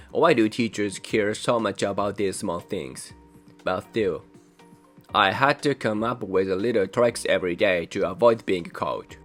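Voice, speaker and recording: A young man from Japan, a native Japanese speaker with a Japanese accent, hosting his channel in measured, careful scripted narration. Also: lowish voice. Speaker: channel host. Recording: soft music behind voice.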